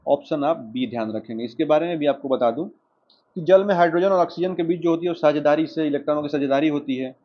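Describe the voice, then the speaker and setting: A man with an Indian accent teaching an exam lesson, explaining questions and answers directly to students. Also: on the high side. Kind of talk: lesson, working through quiz questions and explanations. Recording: dull lo-fi microphone sound.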